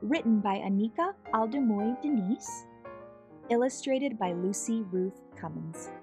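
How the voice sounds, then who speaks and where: A woman reading a children's picture book aloud in an expressive, deliberate way, pausing between phrases. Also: a little high, music in the background. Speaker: storybook reader.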